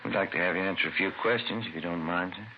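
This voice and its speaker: An actor playing a polite, low-key police detective in a vintage radio crime drama. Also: male voice, fast talker, lightly acted. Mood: polite, businesslike. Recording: dull, noisy old radio recording.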